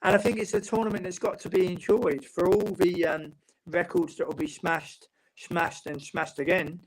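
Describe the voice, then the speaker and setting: A man from England, a football writer and guest, talking in a calm, measured way with long explanations. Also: high-pitched, poor audio. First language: English. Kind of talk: casual panel chat.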